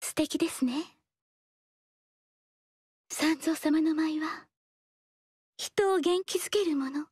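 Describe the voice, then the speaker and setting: An actress voicing a gentle, melancholy young female dancer who speaks softly and politely in a game. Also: sits high, slow pace, pauses often, dry recording. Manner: soft, polite and reserved. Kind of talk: game dialogue. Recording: dry studio voice.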